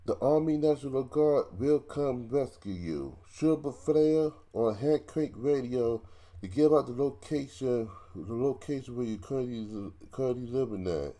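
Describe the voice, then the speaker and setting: A man giving an ASMR roleplay emergency broadcast announcement in a halting, repetitive way with stumbles. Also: medium pitch, warm tone.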